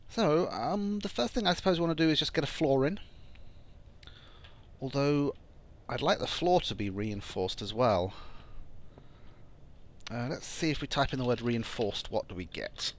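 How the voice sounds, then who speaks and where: A man with a croaky, phlegmy voice from a cold, talking through a building game in casual commentary and thinking aloud with frequent ums. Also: mid-range voice, expressive, normal speed, long pauses. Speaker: gameplay channel host. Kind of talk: casual let's-play commentary.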